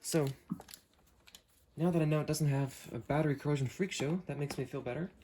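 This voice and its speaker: A man's voice: a little high, brisk, dark tone. Man casually narrating an unboxing, noisy recording. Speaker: host unboxing weather radios.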